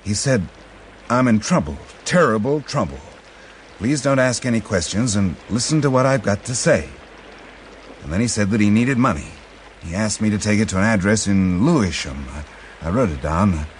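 An actor playing an anxious, ailing father in a radio drama, speaking in a halting, worried way. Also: lowish voice, animated, a little hiss or hum, lightly acted. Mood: anxious, troubled. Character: anxious, ailing father of a runaway grown son.

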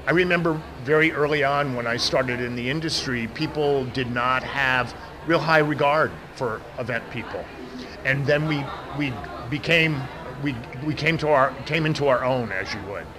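A man's voice: mid-range voice, normal speed. A middle-aged American man who says he has a big voice, answering interview questions with many restarts. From United States. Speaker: interview guest, event professional. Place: outdoor event media wall. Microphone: handheld mic held by interviewer. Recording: outdoor traffic noise behind.

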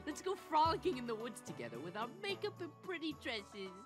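A woman's voice: high-pitched, very animated, brisk. A woman playing a pompous, sarcastic little mayor in a cartoonish video game. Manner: theatrical, mocking. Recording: game audio with loud music.

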